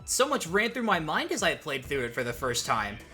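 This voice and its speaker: Male video essayist, lively pitch and bright tone, joking and sweary, music underneath. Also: a little fast. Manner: joking, self-interrupting, sweary. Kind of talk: casual scripted video essay commentary.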